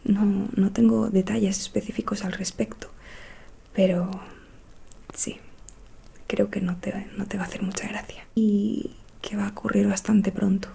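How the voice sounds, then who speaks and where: A woman whispering in a breathy, soothing, hushed way, playing a calm, mysterious witch fortune teller in an ASMR roleplay. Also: medium pitch. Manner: slow, hushed, soothing delivery. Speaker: ASMR performer.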